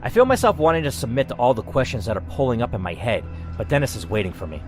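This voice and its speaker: A man with a medium-pitched voice narrates a game story in the first person. His reading is dramatic, with pitch that rises and falls freely over soft music. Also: big pitch swings, fast talker.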